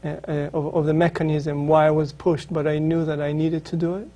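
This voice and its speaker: Hesitant Israeli man, dark and flat. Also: a little high, fairly quick. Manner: hesitant, many ums and restarts. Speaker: author, interview guest. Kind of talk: reflective interview answers.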